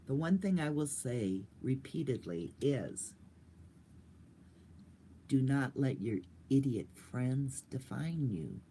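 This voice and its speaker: Lively older woman, rambling chat. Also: rumbling low, slow pace, a little hiss or hum. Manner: rambling, goofy, chatty. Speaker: vlogger. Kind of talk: casual livestream chat.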